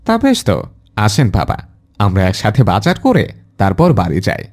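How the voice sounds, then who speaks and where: A man voicing an indulgent, slightly impatient father in a cartoon, with a coaxing, expressive manner. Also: lowish voice, normal speed. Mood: friendly surprise. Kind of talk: animated cartoon dialogue.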